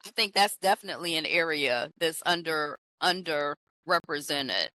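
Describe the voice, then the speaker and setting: Bright-voiced chatty woman in her fifties. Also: deep voice, expressive, normal speed, no room sound, rough recording. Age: 50s. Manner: rambling, self-correcting and chatty. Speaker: podcast co-host. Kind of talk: casual conversation.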